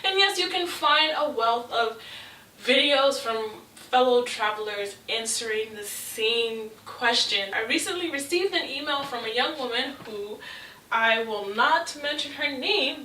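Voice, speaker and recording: A young woman giving travel advice in a casual vlog, chatty and relaxed, with frequent ums. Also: on the high side, measured pace, roomy sound, recorded from a distance, a little hiss or hum, rough recording. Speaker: travel vlogger.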